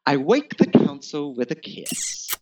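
Male author narrator, wry, theatrical, highish pitch, slow pace, dramatic audiobook reading. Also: huge pitch swings, darkish tone, slight background noise, poor audio. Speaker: author reading own novel.

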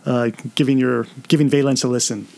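A man's voice: medium pitch, fairly even intonation, fairly quick, creaky, dark tone. A man hosting a podcast, interviewing a guest in a casual, conversational style.

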